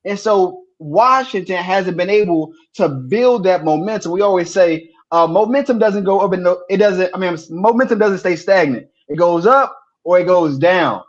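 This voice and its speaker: A man co-hosting a sports podcast, talking casually and telling stories with animated repeats when excited. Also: high-pitched, dull audio.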